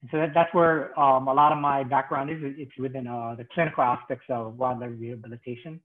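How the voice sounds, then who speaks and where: A man's voice: medium pitch, fairly even intonation, fairly quick. A middle-aged man from Hawaii, a field veterinarian presenting over a video call, hesitant with many fillers. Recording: tinny phone-like call audio.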